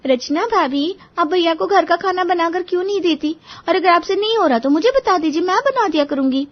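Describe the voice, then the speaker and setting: A woman playing an outspoken, cheerful and cheeky young daughter-in-law in a Hindi animated moral story, chirpy and persuasive. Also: very high voice, a little fast. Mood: helpful. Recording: dull, lo-fi audio.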